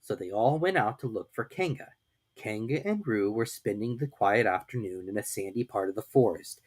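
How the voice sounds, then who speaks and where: Female storyteller for children's book narration: very deep register, lively and expressive. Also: normal speed, husky.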